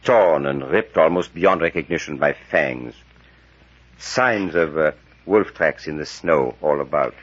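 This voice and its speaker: Secretive, solemn professor for vintage radio adventure; husky, dramatic storyteller, muffled old sound. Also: male voice, a bass voice, lively intonation, measured pace, exaggerated voice. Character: grave, secretive male professor recounting horrors. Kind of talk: 1940s radio drama acting. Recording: dull vintage radio recording.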